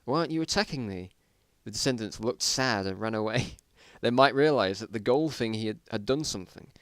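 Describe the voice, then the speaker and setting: A man's voice: lowish voice, brisk, no echo. A man giving a dramatic reading of his own story, breaking off for self-mocking, exasperated asides about the writing.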